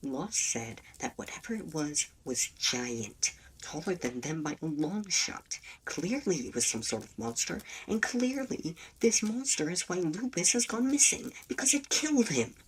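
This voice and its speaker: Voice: medium pitch, sing-song, a little slow, hardly any pauses. A performer playing a cocky, hot-headed male demon in an audio roleplay, with a smug, argumentative way of talking. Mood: irritated, insistent. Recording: distant mic with faint background noise.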